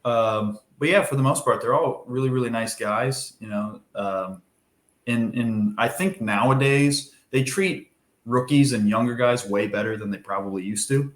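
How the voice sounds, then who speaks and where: A young man from Minnesota talks casually as a podcast guest, rambling and restarting his sentences in an easygoing way. His voice is middle-pitched and carries the echo of a roomy space. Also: normal speed.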